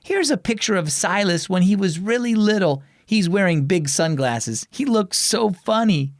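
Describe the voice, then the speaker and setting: An eager young girl from a children's story , acted by a male narrator. The voice is lifted and bouncy, with exclaiming, expressive swings. The sound is close and dry. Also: high voice, normal speed, no room sound, voice pushed up.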